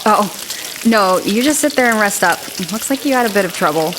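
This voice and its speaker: Female actor for young village woman in fantasy audio drama: mid-pitched, frank, caring, guarded, crackling background noise. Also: expressive, a little fast, lightly acted. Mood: teasing, wary. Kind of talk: naturalistic audio drama dialogue.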